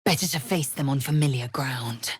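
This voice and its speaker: A woman's voice: very deep voice, moderate pace, slightly rough, dry recording, clean studio sound, heavily acted. A woman playing a proud, stern githyanki warrior in a video game, with clipped, imperious delivery and formal, archaic phrasing. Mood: guarded, calculating. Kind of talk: video game character voice acting.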